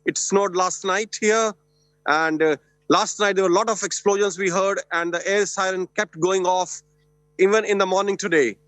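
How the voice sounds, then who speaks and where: A man reporting live by phone for a news channel, brisk and matter-of-fact. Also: sits high, steady tone, fairly bright tone, no echo. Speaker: field reporter.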